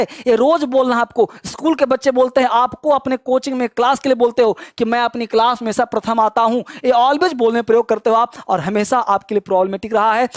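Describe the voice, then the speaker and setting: A man runs an online English class, quizzing students at a brisk clip. He teases and scolds along the way. His voice sounds dry, with no room echo. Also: very high-pitched. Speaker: English teacher. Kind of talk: online spoken English quiz lesson.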